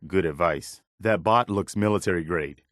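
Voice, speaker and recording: An actor playing an earnest, steadfast militia officer in a post-apocalyptic video game, speaking plainly and in a measured way with an American accent. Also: male voice, deep voice, no echo, studio quality, lightly acted. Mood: serious, resolute. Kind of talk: video game companion voice lines.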